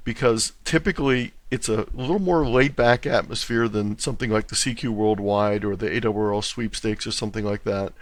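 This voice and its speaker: Guy with lowish voice explaining stuff step by step in an interview, very dry sound. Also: no echo. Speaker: interview guest, contest organizer.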